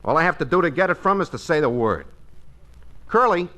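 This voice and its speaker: An actor playing a brash, pushy, wheedling freeloader friend in 1940s radio comedy, indignant in manner. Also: male voice, fairly high pitch, expressive, brisk, a bit bright. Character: brash, fast-talking, wheedling freeloader pal.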